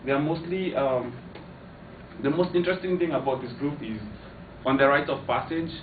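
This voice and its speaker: A man's voice: fairly high pitch, normal speed. A man from Kenya giving an informative class speech, hesitant, with many fillers and restarts. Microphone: far camera. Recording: echoey, distant, tinny, noisy.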